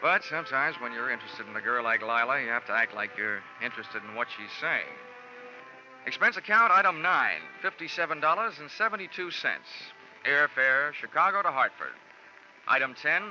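A man's voice: mid-range voice, bright, forceful tone. An actor playing a wry, tough, wisecracking American insurance investigator who narrates his case in a 1940s radio detective drama. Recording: dull vintage 1940s radio recording. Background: dramatic radio music.

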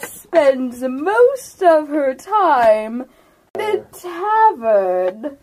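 A woman voicing an elderly, gentle turtle who talks very slowly, with long pauses, in a tabletop game. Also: high voice, very animated, a little hiss or hum, full character voice, low-quality recording. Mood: slow, explaining. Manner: drawn-out, very slow delivery with long pauses. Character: slow-talking elderly turtle, unhurried and gentle.

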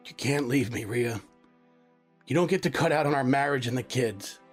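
A man playing a gruff, devoted smuggler who talks rough and emotional to his wounded partner. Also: medium pitch, moderate pace, faint music behind, natural voice. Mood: pleading.

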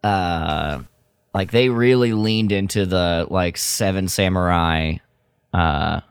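A man's voice: low-pitched, slow pace, smooth, dry recording, clean studio sound. A man co-hosting a podcast, chatting casually as a fan. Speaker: podcast co-host.

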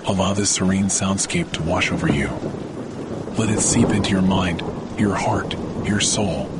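A man hosting a guided sleep meditation, speaking in a slow, soothing way with long pauses. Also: deep voice, fairly even intonation, very noisy. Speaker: podcast host and meditation guide. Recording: loud rain and thunder behind voice. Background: rain and thunder sounds.

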